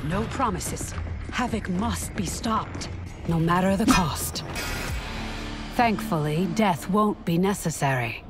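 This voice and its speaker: A woman's voice: lowish voice, takes it slowly. A woman playing a stern, regal warrior empress in a video game, formal and commanding. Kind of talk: dramatic video game cutscene acting. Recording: voice under loud cinematic game music.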